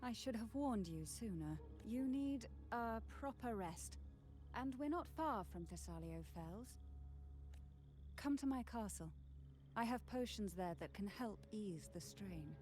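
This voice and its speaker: A woman voicing a serene, enigmatic castle lady in a video game, gentle and poised with a faintly ominous, soothing manner. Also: medium pitch, expressive, unhurried, faint music behind, natural voice. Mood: gently concerned. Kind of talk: scripted video game voice acting.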